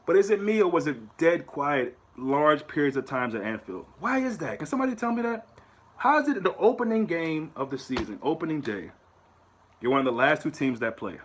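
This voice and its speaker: An American man hosting his own fan channel, talking in a casual, animated way to his viewers, often repeating words and pushing up into rhetorical questions. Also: on the high side, fairly quick, a little hiss or hum. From United States. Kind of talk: casual solo commentary to camera.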